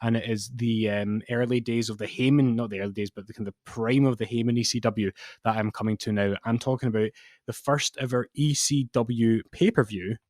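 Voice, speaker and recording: A man in his late twenties co-hosting a wrestling podcast, chatting casually in a halting, reflective way as he explains things. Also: mid-range voice, steady tone, a little mellow, no echo, studio quality. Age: late 20s. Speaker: podcast co-host.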